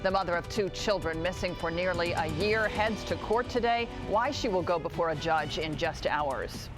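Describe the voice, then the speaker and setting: A woman anchoring a morning TV newscast, reading headlines and introducing colleagues in a brisk, bright manner. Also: lowish voice, over music. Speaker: news anchor. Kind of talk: scripted news reading.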